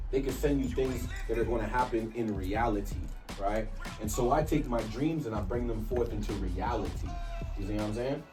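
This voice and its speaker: A man's voice: mid-range voice, distant mic. Laid-back man teaching hip hop. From Canada. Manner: laid-back, peppered with "you know what I'm saying". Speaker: hip hop workshop host. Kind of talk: casual teaching talk.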